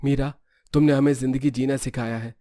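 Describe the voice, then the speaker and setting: Practical young businessman husband, played by a man, mid-pitched, dry studio sound, storybook drama. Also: steady tone, a little fast, dark tone, dry recording, natural voice. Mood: appreciative. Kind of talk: dramatized moral story acting.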